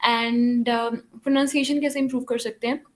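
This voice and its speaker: A woman's voice: on the high side, steady tone, moderate pace. A woman giving an online English class, mixing Urdu and English with frequent fillers. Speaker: English teacher.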